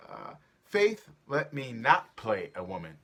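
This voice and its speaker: A man's voice: mid-range voice, wildly swooping pitch, slow, a bit bright, voice pushed down, heavily acted. A man playing a timid, reluctant young bellows mender with a hesitant manner, in a Shakespeare reading. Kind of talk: theatrical Shakespeare staged reading.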